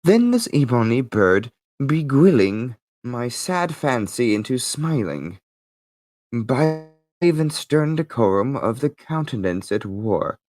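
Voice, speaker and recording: A man's voice: medium pitch, sing-song, slow pace, a little mellow. A man giving a theatrical, emphatic dramatic recitation of poetry as an amateur reader. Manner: theatrical, with big emphatic swings.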